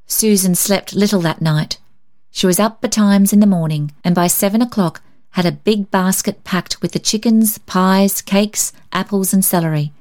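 A woman reading a story aloud as an expressive scripted narration. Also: medium pitch, moderate pace. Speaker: story reader.

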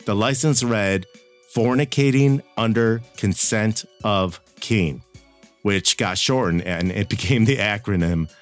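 A man's voice: lowish voice, a little slow. A man hosting a podcast in casual chat, with a wry, blunt manner. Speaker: podcast host. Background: background music.

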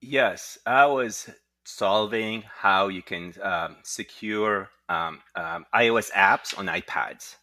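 A man speaking as a guest entrepreneur, telling his story conversationally with frequent halting asides. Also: fairly low pitch, sharp tone, no echo. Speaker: guest, tech entrepreneur and inventor.

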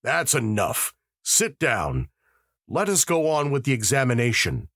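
A man voicing a weary, skeptical middle-aged magistrate who speaks in a flat, dismissive way. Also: medium pitch, unhurried, breathy edge, no room sound, clean studio sound, pitched above the performer's own voice, heavily acted. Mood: curt.

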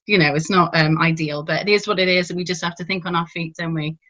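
A woman's voice: fairly low pitch, steady tone, quick pace, a bit bright, lo-fi sound. A middle-aged woman hosting a podcast in a conversational interview style. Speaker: podcast and radio host interviewing a guest.

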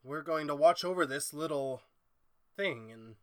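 An actor playing an irascible, egomaniacal chemist in old-style horror radio drama, imperious and melodramatic. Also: male voice, on the high side, measured pace, a bit bright, no room sound, clean studio sound. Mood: manic, gloating.

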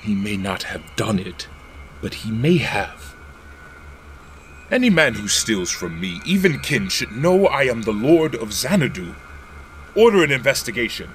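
A man voicing a proud, aging lord of an empire, imperious and quick to anger. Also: lowish voice, sing-song, a little hiss or hum. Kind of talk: audio drama acting.

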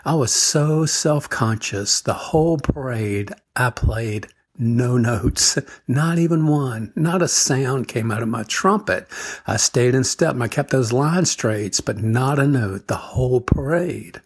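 An older man giving a gentle devotional talk, telling a childhood story and chuckling as he goes, then closing with a prayer. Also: mid-range voice, takes it slowly, breathy edge. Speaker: devotional speaker.

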